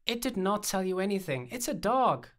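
A man's voice: fairly high pitch, moderate pace, close to an everyday voice. A man voicing a weary, sardonic character in an audiobook, with dry and exasperated delivery.

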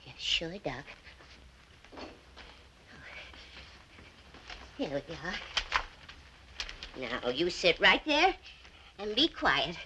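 A woman's voice: fairly low pitch, very animated, slow, bright, forceful tone, slight background noise, full character voice. An actress playing a meek, anxious middle-aged housewife who pleads desperately with her drunken husband in a film scene. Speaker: wife.

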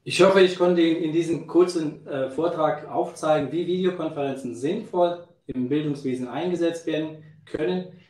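A man's voice: on the high side, normal speed, a little mellow, reverberant, recorded from a distance, poor audio. A man in his fifties in a home office, politely and formally closing his conference talk over a video call. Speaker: presenter.

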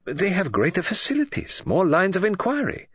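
A man narrating an audiobook voices a fussy, formal older male detective, speaking in a measured, soothing manner. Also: mid-range voice, very animated, husky, dry recording, lo-fi sound, pitched above the performer's own voice. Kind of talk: audiobook character voice.

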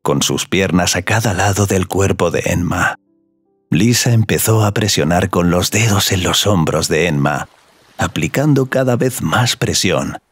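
A man's voice: low-pitched, unhurried, husky, no room sound. A man narrating a scripted romantic audio story as a storyteller. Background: music.

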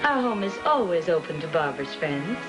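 A woman's voice: on the low side, big pitch swings, a little fast, poor audio. An actress playing an earnest, self-important middle-aged mother with a grand declamatory manner, in 1950s film style. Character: earnest, self-important suburban mother rehearsing her speeches. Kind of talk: 1950s American film acting. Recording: 1950s film soundtrack with music behind.

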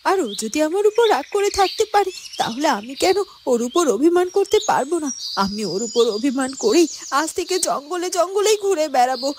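A woman's voice: sits high, lively intonation, brisk, darkish tone, slight background noise. A woman voicing an indignant, hurt young heroine in a fairy-tale cartoon, speaking emotionally and repeating her phrases. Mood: hurt, defiant. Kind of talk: dramatic cartoon dubbing.

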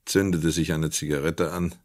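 A man narrating a radio drama from a script in a measured, literary storytelling manner. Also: a bass voice, flat delivery, darkish tone, no room sound.

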